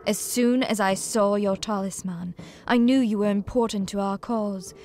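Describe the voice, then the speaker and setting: A woman's voice: medium pitch, fairly even intonation, normal speed, darkish tone, faint music behind, lightly acted. Woman playing a poised, grave noble lady commander. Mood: grave, revealing. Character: poised, grave noblewoman leading a rebel cause. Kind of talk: video game cutscene acting.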